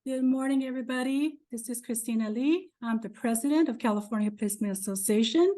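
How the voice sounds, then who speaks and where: A woman's voice: a little high, a little fast, warm tone. A woman serving as an association president, giving an effusive welcome on a video call with many fillers. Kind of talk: welcome address.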